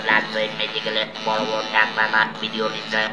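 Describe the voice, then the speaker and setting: A man's voice: lowish voice, monotone, quick pace, noisy background. A man with a Bangladeshi accent talking conversationally through an electrolarynx held to his throat, which gives a buzzing, robotic voice. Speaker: patient being interviewed. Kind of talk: conversational answers. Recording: echoey room.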